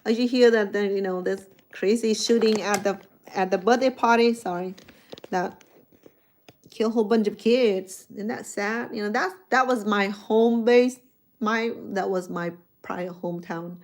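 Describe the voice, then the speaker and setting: A woman's voice: mid-range voice, a little slow. A middle-aged woman with a Cambodian accent, a chef chatting casually with viewers on a live stream, restarting her sentences often and rambling from one thought to the next. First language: Khmer.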